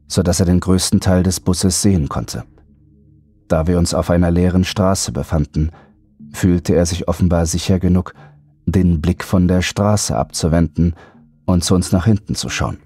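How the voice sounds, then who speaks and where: A man reading a scripted first-person horror story as an audiobook narrator. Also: dry recording. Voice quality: deep, husky, mellow.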